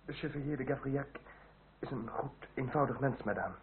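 Crackly old radio play, actor as earnest young revolutionary. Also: male voice, medium pitch, close to an everyday voice. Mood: flustered, earnest. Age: young adult. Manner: deferential, halting, earnest. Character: earnest young revolutionary, deferential mayor's son. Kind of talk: Dutch radio drama acting. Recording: tinny, band-limited, hissy old radio recording.

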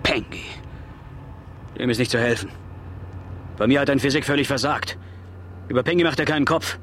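A man's voice: medium pitch, fairly quick, natural voice. An actor playing an earnest, irritable male teacher in a radio drama. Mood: indignant. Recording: loud vehicle noise around the voice.

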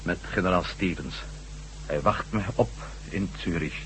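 A captive ex-astronaut in a radio drama. His low, deep voice gives terse, compliant, subdued answers, with faint hum and noise in the recording. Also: a little slow, fairly bright tone, poor audio. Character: captured male ex-astronaut, subdued, obediently answering. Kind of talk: Dutch radio drama acting.

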